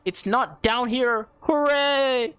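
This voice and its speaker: A woman voicing a cheeky, excitable teenage prankster from a visual novel in a teasing, exclamatory way. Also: a little high, slow pace, clear, resonant tone, no room sound, voice pushed up. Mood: excited.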